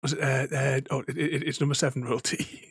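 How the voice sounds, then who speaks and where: A man's voice: medium pitch, level tone, brisk, breathy edge, no echo, studio quality. A man streaming a game who is losing his voice, rambling casually with many fillers and laughs. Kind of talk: casual gaming stream chat.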